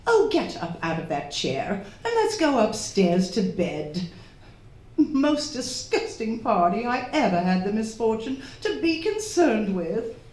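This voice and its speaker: A woman's voice: a little high, big pitch swings, a little mellow. A woman playing a domineering, indignant society hostess and would-be medium in a theatrical radio drama. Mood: haughty indignation. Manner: imperious, indignant, scolding, drawn-out theatrical delivery. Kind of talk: live radio drama acting. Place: stage of a live theatre performance. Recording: roomy echoing live stage recording.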